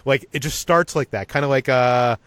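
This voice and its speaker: A man's voice: mid-range voice, normal speed, a bit bright, clear, resonant tone, no room sound. A man hosting a casual film podcast, talking informally and restarting sentences as he explains his ideas. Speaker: podcast host.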